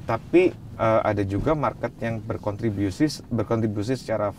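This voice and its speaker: A man answering interview questions as a wine expert, relaxed, slipping English phrases into his speech. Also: lowish voice, moderate pace, a little hiss or hum.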